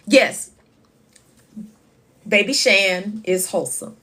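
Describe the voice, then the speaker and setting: A woman, hosting a casual live chat with a loud voice that carries and reading viewer comments aloud. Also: medium pitch, takes it slowly. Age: 50s. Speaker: livestream host.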